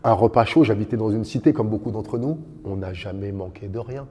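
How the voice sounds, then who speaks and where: Guest, man in his fifties, earnest storyteller; mellow, husky voice with a long hall echo. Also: on the low side, fairly quick. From born in Dakar, raised in France. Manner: earnest, builds long lists with rising emphasis. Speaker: podcast guest, kickboxing champion. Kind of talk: reflective storytelling conversation.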